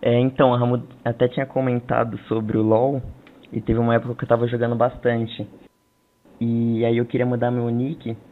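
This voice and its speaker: A man with a Brazilian accent casually answering interview questions as a tournament player. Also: mid-range voice. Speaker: tournament champion being interviewed. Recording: tinny phone-like voice call.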